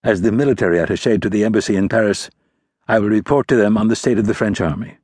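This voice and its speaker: A man voicing a grey-haired male military attaché who speaks slowly, carefully and formally. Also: fairly low pitch, darkish tone, husky, no room sound, studio quality. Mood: guarded.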